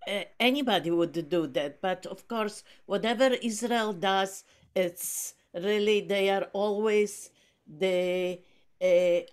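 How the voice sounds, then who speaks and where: Polish-accented woman guest, unhurried, stop-start delivery over a video call. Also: medium pitch. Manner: halting, many restarts and fillers. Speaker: book group guest speaker. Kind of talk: casual video call chat.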